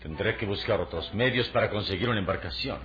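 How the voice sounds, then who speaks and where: Guy acting a deep grave noble hero in an old style radio serial, music under him, kinda muffled recording. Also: fast talker, fairly bright tone, close to an everyday voice. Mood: grave, questioning. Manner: grave, formal, dramatic phrasing. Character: brooding, noble, muscular male adventurer hero with telepathy.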